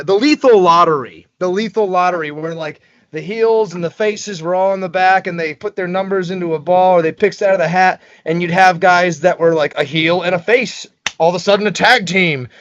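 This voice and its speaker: A man's voice: high-pitched, fairly quick, a bit bright, dry recording, muffled recording. A man talking loosely and profanely about wrestling on a video call, joking as he goes. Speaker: wrestler guest. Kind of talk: casual video call chat. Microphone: video call webcam.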